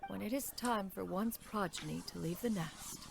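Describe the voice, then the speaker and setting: An actress playing a dignified immortal crane adeptus and mentor in a video game, speaking in formal, archaic phrases. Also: female voice, medium pitch, normal speed, creaky, slight background noise. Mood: gentle, wise. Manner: formal, archaic, refers to self as "one". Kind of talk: scripted game character dialogue.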